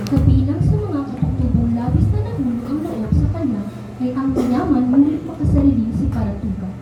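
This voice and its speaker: Female radio drama narrator, high voice, scripted storytelling, far from mic with clear noise. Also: fairly quick. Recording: very echoey room, distant, clear noise.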